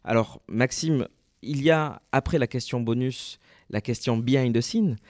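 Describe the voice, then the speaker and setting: A man co-hosting a podcast in casual conversation, speaking haltingly and appreciatively. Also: mid-range voice, a little mellow, no echo, clean studio sound. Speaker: podcast co-host.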